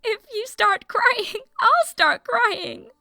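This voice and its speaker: Distraught, impulsive young renegade played by a woman: extremely high, slow-paced, dry recording, fantasy audio drama. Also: expressive, pushed and bright, no room sound, heavily acted. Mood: tearful.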